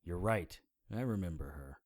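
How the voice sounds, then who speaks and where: An actor playing a gruff, laconic hardboiled private eye in a noir audio drama, terse and world-weary. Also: male voice, deep voice, animated, normal speed, no echo, clean studio sound. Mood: guarded.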